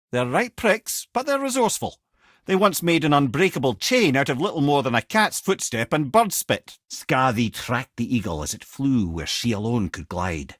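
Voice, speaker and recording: A man's voice: medium pitch, fairly bright tone, dry recording, studio quality. A man playing a witty, learned old talking head in a video game, an eloquent storyteller and loyal companion with a Scottish accent. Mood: wry. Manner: wry, eloquent, colloquial storyteller. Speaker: companion and lore-teller.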